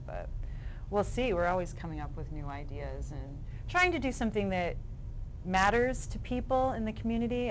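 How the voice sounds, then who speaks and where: A woman's voice: fairly low pitch, slight background noise, poor audio. A middle-aged woman speaking casually and reflectively as a theater maker being interviewed.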